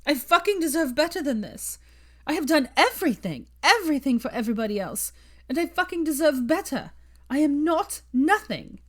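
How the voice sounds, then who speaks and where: An indignant, wounded young witch acted by a woman narrator in an audiobook. Her voice sits high and protests emphatically, defiant and hurt. The sound is close and dry. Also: sing-song, normal speed, dry recording. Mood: anguished, defiant. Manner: outraged outbursts and emphatic protest.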